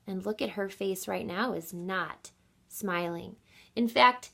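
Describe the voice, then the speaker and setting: Woman, reading teacher, children's read-aloud, bright clear projection, unhurried pace, encouraging, deliberate emphasis. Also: medium pitch. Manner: encouraging, addresses children with questions and explanations.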